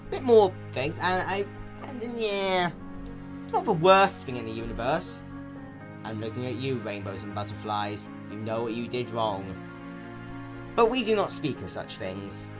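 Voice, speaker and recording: A man delivers an off-the-cuff review in a casual, rambling manner. His pitch is highly animated, with exaggerated stress and mock-lordly flourishes. Faint music sits behind him. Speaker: fan fiction reader and reviewer.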